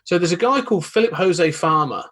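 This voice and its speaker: A male podcast guest with a slightly raised pitch and a husky edge to his voice. He chats easily and explains ideas at length, restarting phrases as he goes. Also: expressive, a little fast, pushed and bright. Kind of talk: casual chat.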